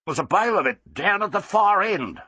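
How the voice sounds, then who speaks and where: A male reader voicing a gruff working-class storeman. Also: sits high, lively intonation, moderate pace, sharp tone, no room sound, voice pushed up, heavily acted. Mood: insistent.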